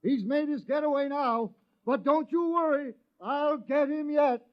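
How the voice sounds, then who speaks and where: A man's voice: very high-pitched, unhurried. An actor playing an earnest, dim, self-important village policeman with a folksy way of talking, in 1950s radio drama style. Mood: excited. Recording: dull old radio recording.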